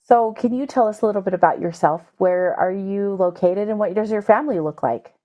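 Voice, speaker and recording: A woman's voice: mid-range voice, fairly quick, dark tone. A woman hosting a podcast, asking interview questions and affirming her guest. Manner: encouraging, affirming. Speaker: podcast host.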